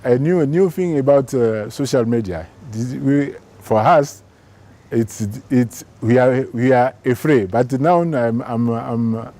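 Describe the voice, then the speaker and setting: A man's voice: mid-range voice, slow, mellow. A man, a trainee scientist speaking hesitantly in an interview, stumbling and repeating words. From Côte d'Ivoire. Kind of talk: interview testimonial.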